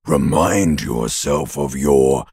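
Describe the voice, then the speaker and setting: A man voicing a morbid, taunting undead creature in a video game, with a gravelly, raspy growl and a drawling, crude, mockingly intimate manner. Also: extremely low pitch, slow, darkish tone, dry recording, studio quality, full character voice. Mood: sardonic, morbid. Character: male ancient reptilian brain and talking corpse, taunting, morbid. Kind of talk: video game character voice acting.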